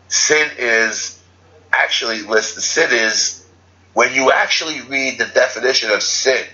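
A man's voice: fairly low pitch, sharp tone, muffled recording. A man giving an unscripted spiritual talk as a teacher, rambling and correcting himself as he goes. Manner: rambling, restarts and self-corrections.

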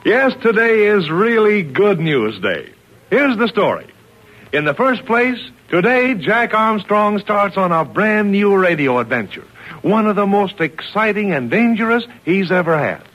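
A man works as an old-time radio announcer, reading a script in a chummy, emphatic way. His voice sits high for a man. The recording is dull and lo-fi. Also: big pitch swings, unhurried. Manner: chummy, emphatic sales patter.

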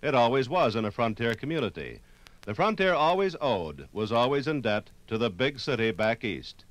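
Male narrator, medium pitch, expressive range, solemn vintage radio storytelling. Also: normal speed, dry recording, rough recording. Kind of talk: dramatic scripted 1950s radio narration. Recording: 1950s vinyl radio recording, music underneath.

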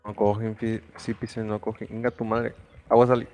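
A man's voice: lowish voice, level tone, moderate pace, darkish tone, soft background music. A man with a Mexican accent chatting casually over gaming voice chat, swearing often in slangy speech.

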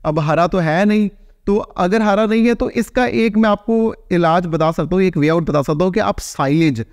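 A man's voice: sits high, moderate pace, dry recording, clean studio sound. A man in his thirties, a veterinarian, explaining to camera in a casual, conversational way and often checking in with "right?". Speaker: veterinarian answering viewer questions. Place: small plain indoor room, seated.

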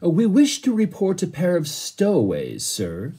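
A man's voice: high-pitched, wildly swooping pitch, normal speed, dark tone, slightly rough, voice pushed up, exaggerated voice. A man voicing a polite, composed butler in a storybook, speaking formally. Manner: formal, courteous. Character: polite, unruffled English-style butler, a grown man.

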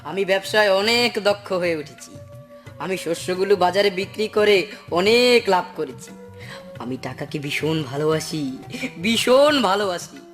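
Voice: medium pitch, lively intonation, normal speed, faint music behind, natural voice. An actor playing a polite, eager poor boy with big ambitions in a dubbed cartoon. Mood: dreamy, greedy.

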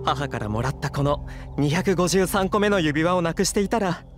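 An actor playing an excitable, very polite rich male innkeeper in an anime game. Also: a little high, moderate pace, lightly acted. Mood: polite, earnest. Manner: very polite, apologetic. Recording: background music under the voice.

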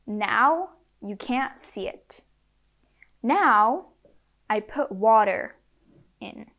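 A young woman presents a scripted lesson for young learners. Her pitch is on the high side, and she speaks at a very slow pace, setting out the words one by one. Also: expressive, vocal fry, fairly bright tone. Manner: measured, word-by-word delivery for learners. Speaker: presenter of a children's science lesson. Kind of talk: scripted educational narration in simple English.

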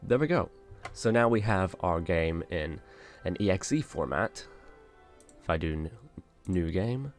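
A man hosting a casual software tutorial, talking through each step in an informal, instructional way. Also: low-pitched, animated, unhurried, long pauses, soft background music. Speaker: tutorial host. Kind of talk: casual software screen-share tutorial narration. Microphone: close mic, voice-over on a screen recording.

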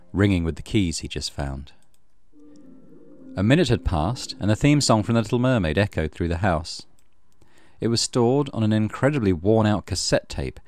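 Deep-voiced man narrating horror over music. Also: darkish tone. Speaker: gaming channel host reading visual novel. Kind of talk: dramatic scripted horror narration.

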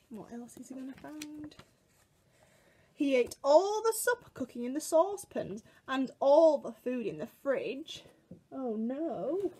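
A British woman in her twenties telling a picture-book story to young children in an expressive, exaggerated way, recorded indoors at home. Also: sits high. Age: 20s. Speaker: children's museum worker reading a story to children. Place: small room at home, indoors.

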